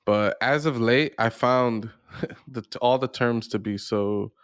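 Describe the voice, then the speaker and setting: A man hosting a podcast in casual discussion, halting as he thinks aloud. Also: mid-range voice, clean tone, dry recording, clean studio sound. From United States. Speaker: podcast host.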